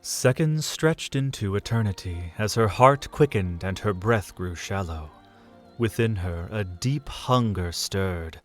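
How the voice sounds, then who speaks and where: A man narrating a romantic fan audio drama, measured and soft. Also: lowish voice.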